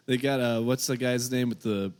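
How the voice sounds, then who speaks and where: A man's voice: medium pitch, fairly even intonation, normal speed, clear, resonant tone. A man joking around as a podcast co-host, laughing often and breaking into silly voices. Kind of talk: casual chat.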